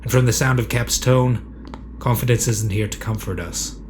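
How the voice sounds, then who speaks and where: Man acting a quiet, mid-pitched soldier. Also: fairly even intonation, normal speed, background noise, lightly acted. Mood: sober, guarded. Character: quiet, sober male soldier, close-combat specialist. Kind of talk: sci-fi audio drama acting.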